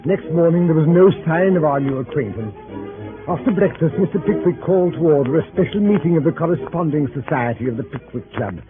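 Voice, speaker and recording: A man's voice: a little high, lively intonation, fairly quick, lightly acted. An actor playing a genteel club member who narrates his travels with mock reverence, in 1930s radio drama style. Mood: mock-reverent. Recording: tinny 1930s radio broadcast with faint music.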